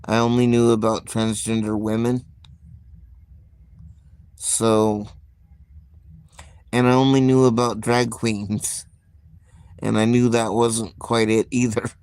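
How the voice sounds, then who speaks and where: A man hosting a casual live stream, talking in a slow, soft-spoken, reflective way with frequent pauses. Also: fairly low pitch, little pitch movement, clear, resonant tone, no room sound. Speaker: livestream host.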